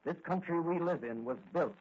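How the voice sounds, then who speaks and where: A man's voice: a little high, moderate pace, close to an everyday voice. An actor playing a confident, hearty young-hero patrolman in an earnest 1940s radio acting style. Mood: earnest, solemn. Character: confident, cheerful heroic male patrolman crime-fighter. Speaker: lead actor in radio serial. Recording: muffled lo-fi vintage radio recording.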